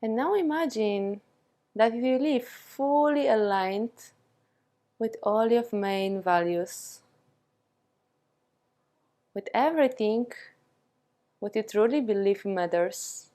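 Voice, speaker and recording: Slow-paced woman guiding a meditation. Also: fairly high pitch. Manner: calm, soothing, with long pauses between short phrases. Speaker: yoga and meditation teacher. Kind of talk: guided meditation.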